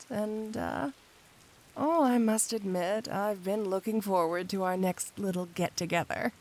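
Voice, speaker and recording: A woman playing a flirty, teasing supervillain cat burglar in an intimate ASMR roleplay, speaking coyly to the listener. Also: mid-range voice. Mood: playful, flirty. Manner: coy, teasing, intimate, unhurried.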